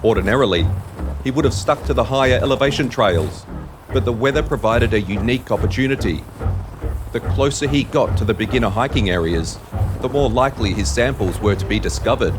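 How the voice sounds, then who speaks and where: A man's voice: mid-range voice, fairly quick, background noise. A man reading scripted narration for an audio drama.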